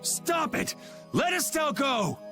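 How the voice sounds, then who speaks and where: An actor playing a loyal, earnest young knight calling out urgently in a game cutscene. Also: male voice, extremely high pitch, big pitch swings, unhurried, full character voice. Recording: game audio with loud music behind.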